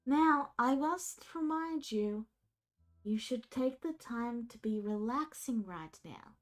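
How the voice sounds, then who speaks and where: A woman voicing a weary man who speaks slowly and deliberately as he offers paid work. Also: on the high side, lively intonation. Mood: measured.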